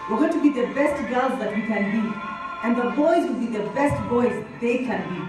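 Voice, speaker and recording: In a very echoey hall, slightly distant, a Kenyan woman in her thirties speaks on a handheld microphone. Her voice is fairly high and she talks emphatically, like a motivational speaker addressing students, repeating key phrases and asking questions. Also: animated, normal speed. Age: 30s. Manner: emphatic, with rhetorical questions and repetition. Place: indoor workshop hall. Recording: roomy hall, distant, music behind.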